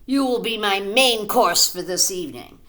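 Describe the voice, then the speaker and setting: A woman voicing a sly, grinning male fox villain in a children's story, who talks in a loud, gloating, pompous way. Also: mid-range voice, expressive, normal speed, natural voice.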